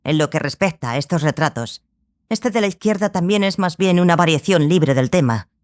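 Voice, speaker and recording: A stern, scholarly sorceress played by a woman tells her story in a fantasy audiobook. She is grave and obsessive, with a measured delivery and deliberate pauses. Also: on the low side, lively intonation, natural voice. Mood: instructive. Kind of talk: dramatized audiobook dialogue.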